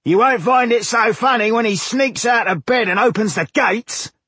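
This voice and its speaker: Belligerent villager, high and loud. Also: male voice, normal speed, breathy edge, dry recording, heavily acted. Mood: angry, alarmed. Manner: loud, mocking, aggressive. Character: belligerent, suspicious pipe-smoking village man. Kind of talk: audiobook character dialogue.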